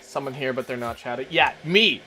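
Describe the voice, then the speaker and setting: A man's voice: mid-range voice, a little fast, pushed and bright, faint music behind. A man streaming a casual chat, rambling to his viewers while making coffee. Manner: chatty, rambling, talks to chat.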